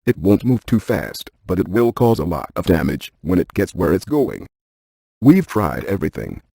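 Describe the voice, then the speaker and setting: An earnest scientist ghost hunter in a video game. His voice is low and mellow, with a dry, close sound. He explains things in precise, technical, deadpan terms. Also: normal speed, vocal fry, slightly rough, no echo, full character voice. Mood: matter-of-fact, grave. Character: earnest, analytical male scientist ghost hunter, matter-of-fact.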